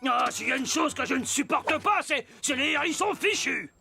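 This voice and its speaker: A man voicing a pompous, bossy sanitation inspector in a French-dubbed cartoon, speaking deliberately. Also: extremely high pitch, expressive, creaky, bright, forceful tone, full character voice. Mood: officious. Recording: music under the voice.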